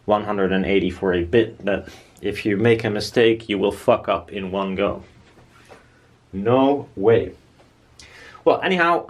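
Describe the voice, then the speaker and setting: Man, DIY maker, slightly low pitch, very slow pace, informal rambling delivery. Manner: informal, rambling, blunt with swearing. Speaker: DIY maker presenting own build. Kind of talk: casual workshop chat.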